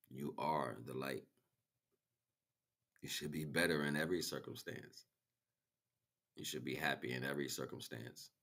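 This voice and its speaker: Very deep-voiced man preaching spiritual ideas. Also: gravelly, fairly bright tone. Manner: preaching, addressing listener directly with repeated phrases. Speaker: spiritual teacher. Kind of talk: impromptu spiritual monologue.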